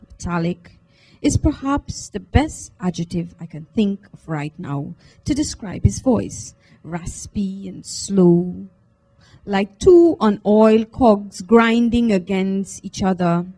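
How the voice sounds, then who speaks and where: A woman reads fiction aloud. Her delivery is unhurried and deliberate, with dramatic phrasing. The tone is mellow and the pitch sits in a medium range. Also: animated, poor audio. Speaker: reader at a book launch. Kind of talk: expressive literary reading, first-person narration. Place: live book launch event at a library.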